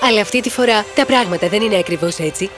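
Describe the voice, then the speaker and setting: A woman voicing a gentle, caring mother soothing her son in an animated fairy tale. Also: mid-range voice, over music, close to an everyday voice. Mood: gently firm.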